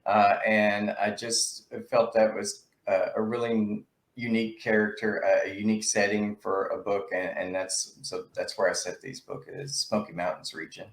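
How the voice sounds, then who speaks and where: A man's voice: on the low side, flat delivery, normal speed, hardly any pauses, echoey room. A man from Tennessee, answering interview questions as an author, hesitant and repeating his words. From Tennessee, USA. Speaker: author guest.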